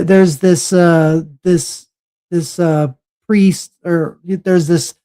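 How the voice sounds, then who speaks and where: Halting man with a mellow voice. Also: fairly high pitch. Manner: halting, many fillers and restarts. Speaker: podcast host. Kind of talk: casual chat.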